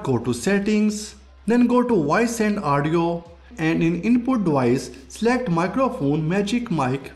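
A man's voice: high-pitched, a little mellow. A man hosting a tech review, reading scripted tutorial narration.

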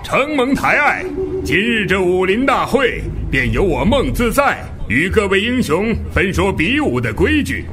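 A man's voice: high-pitched, sing-song, a little slow, noisy background. A man playing a dignified martial-arts tournament host in a wuxia audio drama, formal, polite and declamatory. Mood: formal, courteous. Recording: music under the voice.

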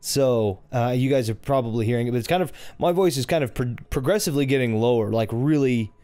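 A man with a low voice hosting a casual game playthrough, chatty and rambling, correcting himself as he goes. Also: fast talker, warm tone, no echo. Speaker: gaming channel host. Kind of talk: casual let's-play commentary.

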